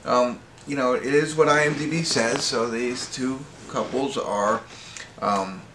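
A middle-aged American man giving a casual, unscripted movie review in a halting, rambling way, often correcting himself. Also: mid-range voice, fairly even intonation. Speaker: amateur movie reviewer and host. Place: small indoor wood-panelled room at home. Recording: roomy echo with faint background noise.

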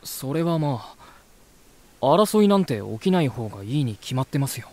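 A man's voice: medium pitch, sing-song, normal speed, a little mellow. An actor playing an earnest, peace-loving, uneasy man, polite and reflective, in a voice drama. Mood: unsettled protest. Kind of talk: voice drama dialogue and inner monologue.

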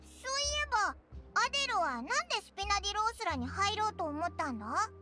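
A tiny floating fairy sidekick for an anime game. She is very high and squeaky, childlike in her blunt excitement, and swings around a lot in pitch. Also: fast talker, soft background music, heavily acted. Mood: curious. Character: small excitable floating fairy girl companion. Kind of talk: Japanese anime game voice acting.

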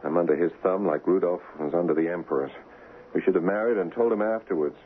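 An actor playing an exasperated, sardonic young fiancé in a radio drama, casual and grumbling. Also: male voice, deep voice, quick pace, natural voice. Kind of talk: 1970s radio drama acting. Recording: tinny, noisy 1970s radio broadcast.

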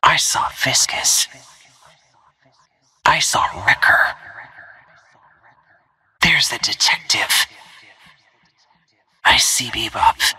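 A woman playing a stealthy, cool-headed assassin in a video game, giving terse, controlled callouts in a hushed, husky whisper. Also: very deep voice, extremely slow, long pauses, full character voice.

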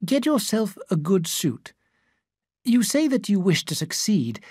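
A man's voice: high-pitched, sing-song, a little slow, a little mellow, breathy edge, dry recording, clean studio sound, pitched above the performer's own voice, full character voice. A man voicing a frank older woman who gives firm, motherly advice in an audiobook. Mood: advising.

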